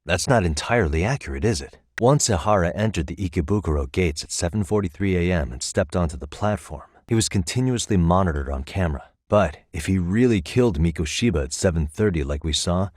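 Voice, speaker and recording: An American man voicing a level-headed, thoughtful detective in a video game, with measured, articulate delivery as he reasons aloud. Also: sits low, lively intonation, darkish tone, no echo, clean studio sound. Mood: analytical, serious. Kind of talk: scripted game dialogue.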